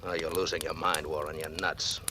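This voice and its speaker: An actor playing a gruff, skeptical police detective in terse, questioning 1940s radio drama style. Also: male voice, very deep voice, flat delivery, brisk, bright, forceful tone. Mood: incredulous. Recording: noisy old radio recording.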